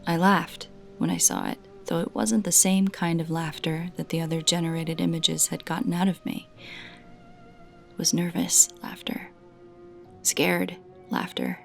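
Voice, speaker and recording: Woman, horror story narrator, very slow pacing, gravelly fry, measured scripted delivery, dramatic pauses, faint music behind. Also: fairly low pitch, level tone. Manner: measured, dramatic pauses between short phrases. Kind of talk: scripted narration, first-person creepy story.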